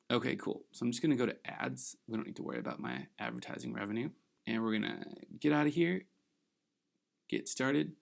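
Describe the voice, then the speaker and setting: A man hosting a screen-recorded software tutorial, giving upbeat, encouraging step-by-step instructions while slightly losing his voice. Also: medium pitch, gravelly. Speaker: tutorial host.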